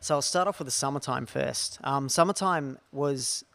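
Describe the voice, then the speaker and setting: A man's voice: mid-range voice, moderate pace, creaky, fairly bright tone. A young man with a New Zealand accent, co-founder of a distillery, chatting at length in an interview and stringing ideas together with "so" and "I guess.". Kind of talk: relaxed interview talk.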